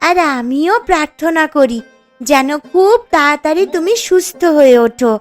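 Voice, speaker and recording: A woman's voice: high-pitched, normal speed, close to an everyday voice. A woman voicing a poor, earnest village girl who pleads, in a children's cartoon story. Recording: music playing under the voice.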